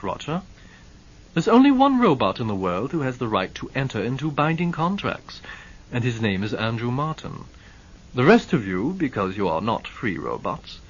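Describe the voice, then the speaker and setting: A man voicing a composed, measured male lawyer, on a muffled lo-fi recording. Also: medium pitch, very animated, voice pushed up. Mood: calm. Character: calm, smooth-talking male lawyer.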